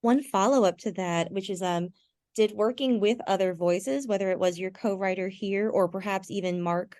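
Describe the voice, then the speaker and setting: A woman's voice: mid-range voice, moderate pace, darkish tone. A woman interviewing guests conversationally as a podcast host.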